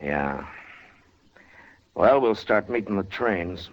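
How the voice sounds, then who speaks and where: A deep, laconic frontier lawman in a vintage radio western. He speaks with dry, unhurried authority. The recording is dull and noisy, like an old broadcast. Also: expressive. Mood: dry, steady. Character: steady, wry frontier US marshal, grown man. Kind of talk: 1950s radio drama acting.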